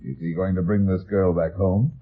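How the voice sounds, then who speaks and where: Deep-voiced hard-boiled private detective. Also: male voice, fairly even intonation, moderate pace, slightly rough. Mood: probing. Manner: clipped, businesslike questioning. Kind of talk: 1950s radio detective acting. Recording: muffled old radio broadcast recording.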